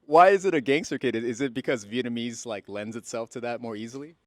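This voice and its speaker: A man's voice: mid-range voice, fast talker, no room sound, studio quality. A man interviewing a guest in a casual conversation, curious and asking follow-up questions. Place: in Vietnam.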